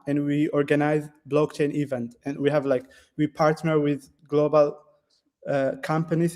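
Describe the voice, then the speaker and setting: Ethiopian man, dark voice, flat delivery. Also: fairly high pitch. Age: 20s. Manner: halting, many fillers and restarts, non-native phrasing. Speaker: guest developer. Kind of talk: casual interview answers. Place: classroom.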